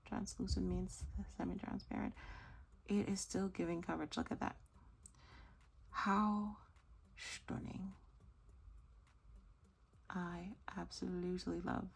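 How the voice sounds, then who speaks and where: A woman hosting a nail art tutorial, chatty and gushing, slightly hoarse as she loses her voice. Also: lowish voice, flat delivery, slow, long pauses. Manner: chatty, gushing, self-correcting. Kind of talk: casual tutorial chat.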